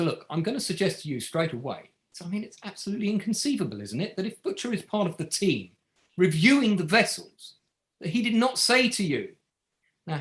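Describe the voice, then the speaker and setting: Guy acting a pushy sardonic barrister in a courtroom play, high bright voice, pitch jumps all over. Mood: incredulous, insistent. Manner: pressing, pointed, sardonic questioning. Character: relentless barrister cross-examining a witness at an inquiry. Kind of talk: scripted courtroom drama table read.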